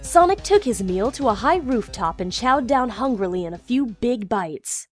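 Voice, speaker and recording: High-voiced woman narrating a story. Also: expressive, normal speed, faint music behind.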